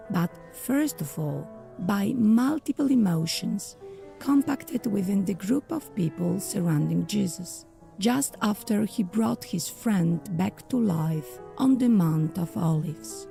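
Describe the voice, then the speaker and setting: A woman narrating a scripted period audio drama in a literary, measured storytelling manner. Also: lowish voice, gravelly, mellow.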